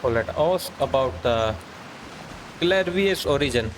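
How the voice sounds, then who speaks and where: A man's voice: medium pitch, animated, measured pace, noisy background. A man giving casual commentary as a live game streamer.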